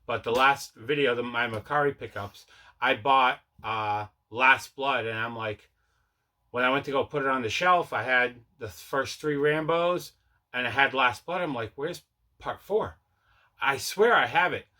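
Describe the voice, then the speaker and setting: Bright-voiced man, casual unboxing host. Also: medium pitch, unhurried. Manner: rambling, conversational, self-correcting. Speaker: host of a collecting channel. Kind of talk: casual unboxing vlog chat.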